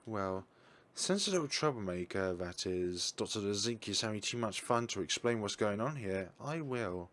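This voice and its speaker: A man voicing a young woman researcher who explains things in a brisk, blunt way. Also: on the low side, animated, a bit bright. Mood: explaining.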